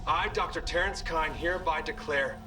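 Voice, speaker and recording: A man's voice: fairly high pitch, normal speed, bright, forceful tone, distant mic, slight background noise, poor audio. A man playing an anxious ship's doctor in a dramatic sci-fi game scene. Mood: urgent, grave. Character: anxious male ship's doctor pleading with his deranged captain.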